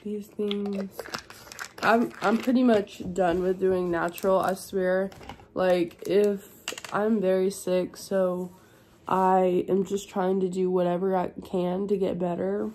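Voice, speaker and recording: A young woman, hoarse and congested from being sick, chatting tiredly in a home vlog. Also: flat delivery, slow, darkish tone, slight background noise. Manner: tired, low-energy, chatty. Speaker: vlogger. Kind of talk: casual vlog chat. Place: at home indoors.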